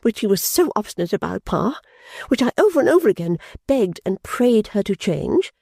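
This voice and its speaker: A man's voice: high-pitched, big pitch swings, moderate pace, creaky, darkish tone, no echo, studio quality, voice pushed up, full character voice. A man voicing a vain, indignant young woman who scolds and frets in a haughty way.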